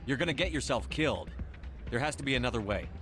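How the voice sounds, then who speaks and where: An actor playing a driven, defiant starship commander in a video game, firm and commanding. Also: male voice, mid-range voice, lively intonation, quick pace, fairly bright tone, faint music behind, natural voice. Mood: grim. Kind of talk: dramatic video game acting.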